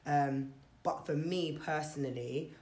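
A woman's voice: low voice, unhurried, a little mellow. A young adult woman in a casual group chat, thinking aloud about family and heritage, with plenty of fillers and restarts. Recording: roomy echo.

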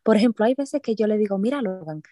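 A woman's voice: mid-range voice, expressive, fast talker, mellow, dry recording, clean studio sound. A woman co-hosting a casual podcast, talking in a reflective way. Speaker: podcast co-host. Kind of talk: casual conversation.

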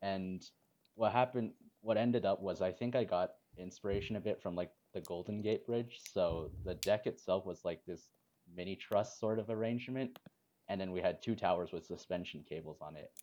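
A man of twenty in a casual group chat, telling a relaxed story and laughing often. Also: low voice, normal speed, highs cut off.

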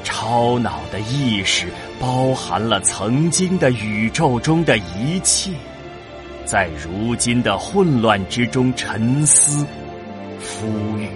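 A man narrating a science-fiction audio drama in a solemn, measured, dramatic way. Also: medium pitch, lively intonation. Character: solemn storyteller of a cosmic tale. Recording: music behind the voice. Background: music.

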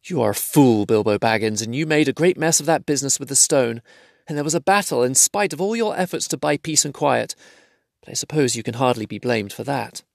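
A man's voice: medium pitch, fairly quick, vocal fry, no echo, pitched above the performer's own voice, natural voice. A man voicing a small, kindly male hobbit who talks in an anxious, self-deprecating way. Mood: rueful. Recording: dry close recording.

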